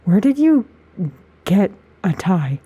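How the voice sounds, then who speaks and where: Husky, awkward, reserved Ingrid. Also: female voice, mid-range voice, takes it slowly, exaggerated voice. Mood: reluctant. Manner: terse, hesitant, deadpan. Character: reserved, awkward, guarded woman called Ingrid. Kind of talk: audio drama dialogue.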